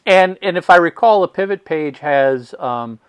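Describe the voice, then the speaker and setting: A man's voice: fairly high pitch, expressive, moderate pace, clear, resonant tone, no room sound. A man hosting a podcast interview, talking eagerly and often repeating his first words in casual chat. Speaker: podcast host.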